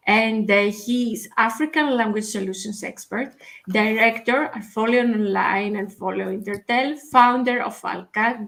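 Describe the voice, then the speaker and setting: Brisk woman host, slightly high voice. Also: fairly bright tone. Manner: formal, list-like introductions. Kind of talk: interview introduction.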